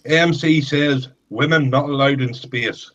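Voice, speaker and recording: Brief: male co-host, bright sharp voice, wry dry teaser, dull lo-fi recording. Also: medium pitch, a little slow. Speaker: stream co-host. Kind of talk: casual banter.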